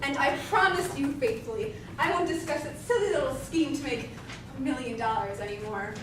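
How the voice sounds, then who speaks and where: A woman's voice: high-pitched, brisk, natural voice. A woman playing a scheming, flamboyant male theatre producer in an amateur stage comedy, grandiose, bullying and cajoling. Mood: smooth, coaxing. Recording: distant echoey room recording with clear noise.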